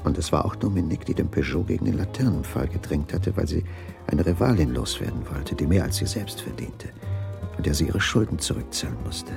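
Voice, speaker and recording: An obsessive, embittered husband in a crime radio play. His voice is deep and creaky and swings widely in pitch, with dramatic intensity. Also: expressive, fairly quick, music in the background, heavily acted. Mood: bitter realization. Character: bitter, obsessive husband turned strangler in a crime story. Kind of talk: 1980s German radio crime drama acting.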